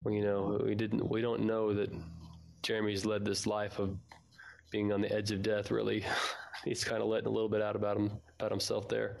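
A man's voice: lowish voice, monotone, fairly quick, creaky, a little mellow. A man co-hosting a podcast, chatting informally about a story and sharing his reactions. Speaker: podcast co-host. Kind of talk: casual chat about an audio drama.

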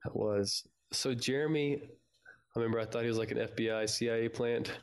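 A man's voice: medium pitch, steady tone, brisk, smooth. A man from Texas chatting informally as co-host of a fan podcast about an audio drama. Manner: informal, conversational.

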